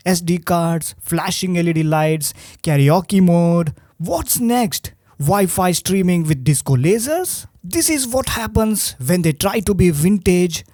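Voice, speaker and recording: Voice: mid-range voice, dark tone, no echo, clean studio sound. A presenter narrating a scripted video review, with a wry, rhetorical manner.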